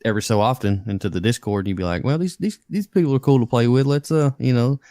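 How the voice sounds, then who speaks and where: A man, a podcast guest, chatting casually in halting speech full of fillers and repeated words. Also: mid-range voice, dark tone, no echo, clean studio sound.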